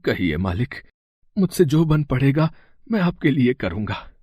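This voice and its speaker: A man's voice: medium pitch, sing-song, unhurried, husky, no room sound, dull audio. A man playing a poor middle-aged village father, anxious, hesitant and deferential, in a moral story drama. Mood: humble, flustered.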